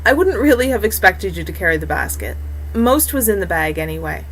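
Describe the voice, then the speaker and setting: A woman's voice: mid-range voice, very animated, a little fast, a little hiss or hum, natural voice. A woman voicing a practical, caring female healer in a fantasy audiobook, matter-of-fact and firm. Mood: gentle. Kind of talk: audiobook character acting.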